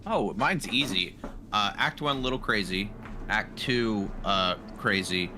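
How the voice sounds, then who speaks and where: Deep-voiced guy with background noise. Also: slow pace. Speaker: player in a tabletop roleplaying game. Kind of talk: casual recap of own character's story. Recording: noisy home microphone, steady background noise.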